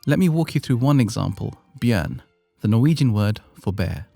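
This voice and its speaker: A man's voice: fairly low pitch, lively intonation, moderate pace, creaky, mellow, dry recording, soft background music. A British man hosting an educational podcast, reading a script with playful, self-deprecating asides. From Britain. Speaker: podcast host.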